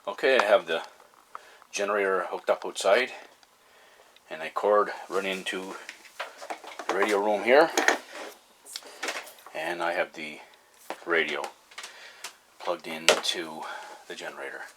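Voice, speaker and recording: Male hobby vlogger, deep voice, folksy and halting delivery, slightly noisy recording with clicks. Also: lively intonation, vocal fry. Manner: halting, folksy, thinking aloud. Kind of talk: casual explanatory vlog.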